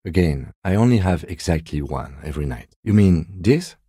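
Middle-aged male detective, very low voice, mellow, understated, formal, principled, video game dialogue. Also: animated, slightly rough, no echo. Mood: dryly polite. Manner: measured, dry, understated, formal. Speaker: voice actor.

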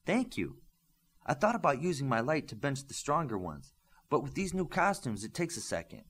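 A man voicing a curious, keen young female superhero in an audiobook, with an animated, questioning manner. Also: medium pitch, normal speed, husky, voice pushed up. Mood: keen, explaining.

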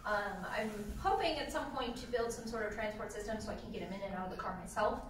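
A woman droid builder on a convention panel, talking in a relaxed, halting way with frequent ums. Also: mid-range voice, a crowd in the background. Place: large convention hall panel room. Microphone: table mic recorded by a far camera in the audience. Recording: echoey hall from far off.

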